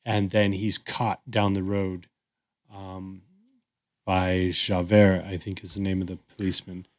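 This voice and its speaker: A man co-hosting a podcast, discussing casually in an agreeable, thoughtful and somewhat halting way. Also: low-pitched, level tone. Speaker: podcast co-host.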